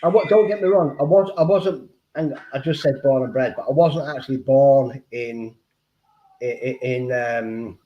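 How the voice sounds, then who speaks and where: A man's voice: mid-range voice, normal speed, slight background noise. A man from Manchester with a Mancunian accent, a guest on a casual livestream who rambles, repeats himself and uses many fillers. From Manchester, England. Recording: dull lo-fi audio.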